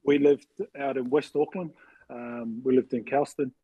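A man in his forties with a husky voice, casually telling personal stories as an interview guest. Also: mid-range voice, fairly even intonation, normal speed, warm tone, no echo, lo-fi sound. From New Zealand, later Western Sydney, Australia. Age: 40s. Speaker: interview guest, former rugby league player.

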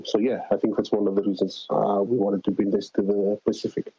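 A man's voice: lowish voice, little pitch movement, a little fast, mellow, slightly rough. A man with a Fijian accent answering casually as an interview guest, speaking as a climate organizer over a remote call. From Fiji. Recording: dull, roomy call audio.

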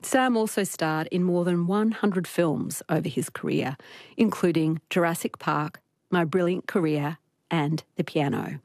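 A woman's voice: fairly low pitch, unhurried, darkish tone, no room sound, clean studio sound. A woman hosting a radio interview programme, introducing and questioning her guest.

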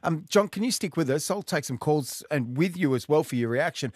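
A male talk radio host, questioning guests and taking calls in a brisk, direct way. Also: fairly high pitch, lively intonation, darkish tone, slightly rough, no room sound, clean studio sound.

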